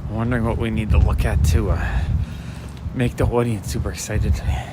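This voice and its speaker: A man with a raspy voice, chatting softly and casually to livestream viewers as he walks a city street at night, recorded on a handheld phone. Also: lowish voice, steady tone, a little fast. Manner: casual, chatty, talks to viewers while walking. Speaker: walking vlogger and livestreamer. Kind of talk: soft-spoken rambling walk-and-talk commentary. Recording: street noise and passing traffic. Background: city street ambience and vehicles.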